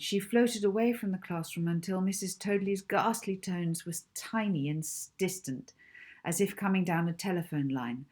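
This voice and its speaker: Female audiobook narrator for children's fiction; lowish, husky voice, expressive scripted reading. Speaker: author reading own book, narrator.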